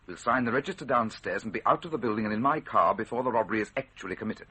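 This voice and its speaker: Radio villain: low, forceful, husky; a pompous, commanding schemer with a respectable front. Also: male voice, rapid-fire. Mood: smug. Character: smooth-talking villainous mastermind posing as a respectable scientist. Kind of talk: old-time radio drama acting.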